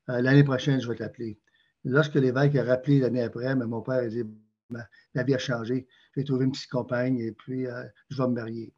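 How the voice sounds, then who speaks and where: An older man speaking with a Canadian French accent, his voice mellow and slightly husky. He pauses often and fills gaps with hesitations as he talks through his answers. The recording sounds a little muffled. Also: medium pitch. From Quebec, Canada. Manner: hesitant, many fillers and restarts. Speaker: interview guest. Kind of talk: prayer reading and interview answers.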